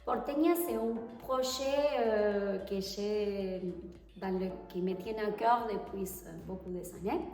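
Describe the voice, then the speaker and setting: Argentine tango teacher as interview guest, Spanish-accented and halting, heard distantly in a roomy space with faint music under her. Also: mid-range voice, mellow. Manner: hesitant, pauses to search for French words. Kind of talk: casual interview answers. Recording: echoey hall, far from the mic.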